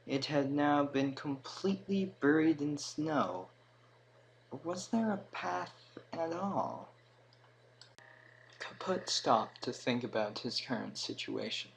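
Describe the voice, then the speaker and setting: A man narrating a scripted fantasy story in a dramatic manner, with emphatic swells and hushed drops. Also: mid-range voice, rough recording. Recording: clear background noise.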